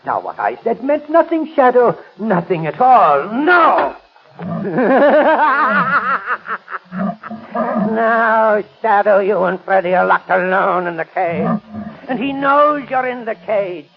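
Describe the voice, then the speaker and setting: An actor playing a deranged, theatrical animal-trainer villain in a 1930s radio drama. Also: male voice, sits high, big pitch swings, slow pace, creaky, breathy edge, exaggerated voice. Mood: taunting, gloating. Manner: taunting, theatrical, cackling. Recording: dull lo-fi 1930s radio recording.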